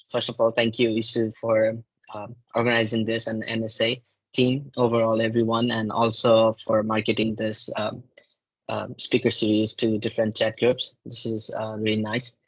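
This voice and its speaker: Flat-voiced young man from Nepal. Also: lowish voice, no room sound, low-quality recording. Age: young adult. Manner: hesitant, many fillers and restarts. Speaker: guest speaker. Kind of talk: presentation talk.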